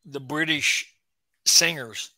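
A man's voice: on the high side, bright, forceful tone, no echo. A man chiming in agreeably during a casual livestream video call. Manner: agreeable, quick to chime in. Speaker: livestream guest.

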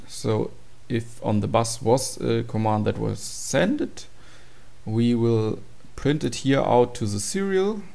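A man's voice: medium pitch, creaky, dark tone. A man giving an informal, matter-of-fact tutorial walkthrough of program code. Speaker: tutorial presenter.

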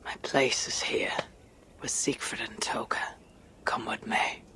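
An actor playing a solemn, resolute Viking warrior leader in a video game, speaking in a measured way. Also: male voice, pushed and bright, in a whisper. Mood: brooding. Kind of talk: dramatic video game cutscene acting.

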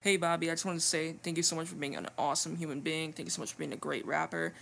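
A young man rattles off a rushed, casual message into a close webcam. His voice sits a bit high for a man and has a gravelly edge. A faint hum fills the dim room behind him. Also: fairly even intonation, a bit bright. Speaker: fan sending a video message. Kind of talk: quick casual thank-you message.

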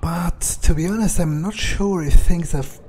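A man's voice: a little high, normal speed, a little mellow. A man narrating his character's thoughts aloud during a game, hesitant and musing, with muttered curses. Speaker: gamer playing in character. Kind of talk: in-character roleplay monologue over gameplay.

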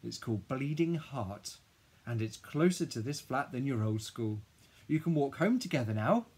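A gentle, placating father from a children's storybook , played by a male narrator. He sounds husky and careful, yet stays firm. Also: medium pitch, expressive, normal speed. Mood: uneasy, placating. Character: boy's father, middling adult, gentle but firm. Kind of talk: children's audiobook character dialogue.

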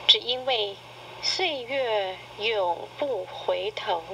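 Very slow woman reciting over noisy tape. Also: fairly high pitch, vocal fry. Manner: poetic, measured, sentimental. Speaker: narrator. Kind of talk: slow sentimental radio-drama recitation of an essay. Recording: noisy cassette tape playback.

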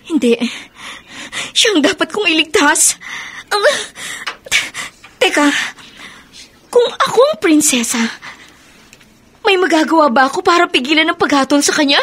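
An actress playing a desperate, determined young bride-to-be in a horror radio drama, emotional and halting. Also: female voice, very high-pitched, lively intonation, a bit bright, full character voice.

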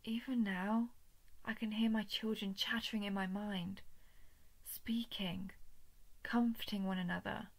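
Woman doing a creepy female alien parasite for horror audio, slow n gravelly fry, whispery, fake-sweet and condescending. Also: mid-range voice, steady tone. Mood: eerie coaxing. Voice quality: creaky, breathy with frequent whispering. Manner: measured, condescending, menacing coaxing. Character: sinister female alien parasite, coldly menacing, falsely gentle. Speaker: audio roleplay performer. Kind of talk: slow intimate sci-fi horror audio roleplay.

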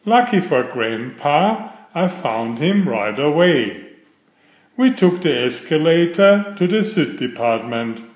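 A middle-aged man reading aloud to young children in a simple storytelling style, with clearly phrased delivery. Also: fairly high pitch, very animated, slow pace. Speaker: children's book reader. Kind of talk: read-aloud bedtime storytelling. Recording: roomy, echoey voice recording.

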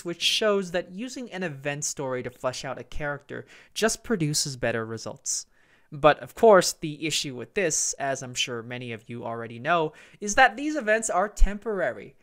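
A man's voice: fairly high pitch, bright, forceful tone. A man hosting a video game review, talking in a casual, opinionated way with conversational, emphatic phrasing.

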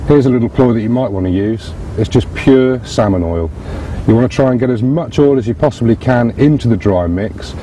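A man, hosting and demonstrating fishing tactics step by step outdoors on a lakeside bank. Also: mid-range voice. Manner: steady explanatory, talks through hands-on steps. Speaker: host presenting fishing tactics. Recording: distant outdoor mic with faint background noise.

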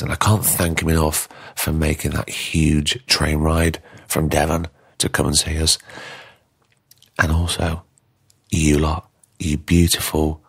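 A man's voice: a bass voice, gravelly, fairly bright tone, breathy edge. A male podcast host giving an effusive, emphatic and informal closing monologue.

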